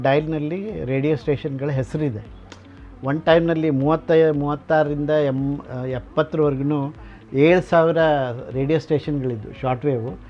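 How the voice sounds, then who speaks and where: A middle-aged man gives an informal, explanatory guided talk as a collector, mixing in English words. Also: a little high. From Karnataka, India. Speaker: radio collector and museum founder. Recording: dull lo-fi sound, music behind. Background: music.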